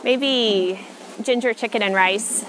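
A woman's voice: fairly high pitch, slow pace, fairly bright tone, rough recording. A woman in her thirties with an American accent, giving brief, thoughtful answers in a casual interview. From Texas, United States. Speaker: interviewee, machine learning researcher. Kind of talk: casual rapid-question interview. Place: outdoor covered city walkway. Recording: outdoor city ambience noise.

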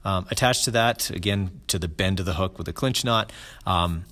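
A middle-aged man hosting a fly fishing channel, explaining his gear and rigs in a relaxed voice-over with many ums and restarts. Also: deep voice, moderate pace, a little hiss or hum.

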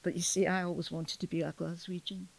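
This is a middle-aged woman with a Scottish accent, telling stories in relaxed conversation. She chats freely and laughs as she goes. The recording is close and dry, with no room sound. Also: on the low side, fast, creaky, dry recording, poor audio. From Scotland. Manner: chatty, laughs between remarks. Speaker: storyteller guest. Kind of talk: casual podcast conversation.

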